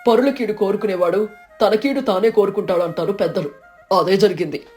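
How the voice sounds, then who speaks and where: A woman voicing a kind, innocent village man who calmly explains what happened. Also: mid-range voice, brisk, soft background music, natural voice. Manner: calm, wise, explaining.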